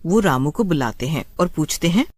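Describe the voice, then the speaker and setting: A woman's voice: deep voice, expressive, moderate pace, darkish tone, no room sound, clean studio sound. A woman narrating a scripted horror story in a dramatic storytelling style.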